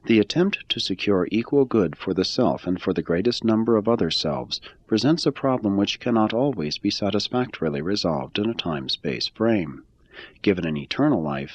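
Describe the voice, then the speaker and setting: A man reading a religious text aloud in a measured, even-paced scripted style. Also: lowish voice, breathy edge, no room sound, dull audio. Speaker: reader.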